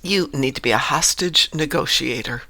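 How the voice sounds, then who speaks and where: Gravelly bright-voiced man chatting while gaming. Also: mid-range voice, moderate pace, no echo. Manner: chatty, musing aloud about game menus. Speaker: streamer. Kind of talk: casual chat while gaming.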